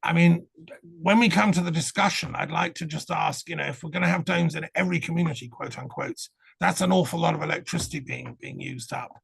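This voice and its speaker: A man runs an online panel over a video call, politely steering speakers along. His voice is somewhat high, very gravelly and husky. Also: dry recording. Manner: polite, brisk, interrupts to keep order. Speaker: moderator.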